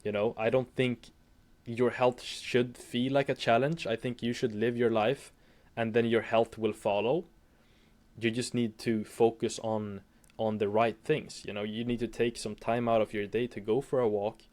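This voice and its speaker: A young man from Sweden with a Swedish accent, speaking English as a second language as an interview guest, hesitant and halting with frequent restarts. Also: mid-range voice, little pitch movement, a little mellow. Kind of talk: casual interview chat.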